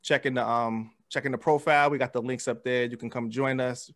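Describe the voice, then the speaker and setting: A man's voice: medium pitch, steady tone, fairly quick, a bit bright. An American man hosting a podcast in casual chat, slangy and laid-back. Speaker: podcast host. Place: indoors, remote video call.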